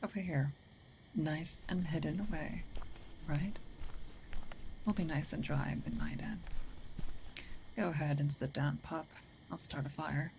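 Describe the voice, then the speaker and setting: Low-voiced, gravelly female werewolf acted by a woman. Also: fairly even intonation, a little slow, pauses often, darkish tone, slight background noise, low-quality recording. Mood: reassuring. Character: gruff female werewolf, territorial yet caring, awkward protector. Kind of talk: ASMR roleplay, soft intimate acting.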